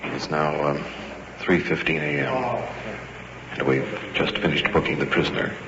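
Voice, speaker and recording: A male radio host with an extremely deep voice reports from the scene in short, terse, observational phrases. The old location recording is muffled and buried in heavy vehicle noise. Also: fairly even intonation, moderate pace, creaky. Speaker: radio host reporting on police ride-along. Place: on location beside police and in car.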